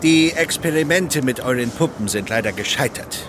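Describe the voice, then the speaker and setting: Man acting an animated, eccentric peacemaking inventor. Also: mid-range voice, normal speed, background noise, natural voice. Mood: placating. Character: eccentric male puppet-maker and yoga-loving peacemaker. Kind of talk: German children's audio drama acting.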